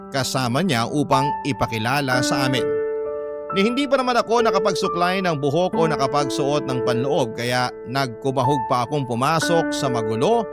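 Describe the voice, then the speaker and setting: A man with a Filipino accent dramatically reading a listener's letter in the first person as a radio drama narrator. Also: a little high.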